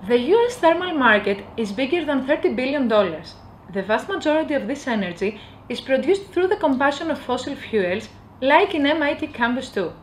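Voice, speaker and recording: A young woman from Greece, giving an earnest, upbeat scripted promotional pitch. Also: expressive, a little fast, slight background noise. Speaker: company presenter. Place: indoor office with bookshelves.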